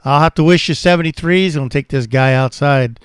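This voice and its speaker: A man's voice: fairly high pitch, a little fast, smooth, no room sound, clean studio sound. A man in his sixties with an American accent, chatting casually as an amateur radio operator. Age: 60s. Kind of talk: casual ham radio chat.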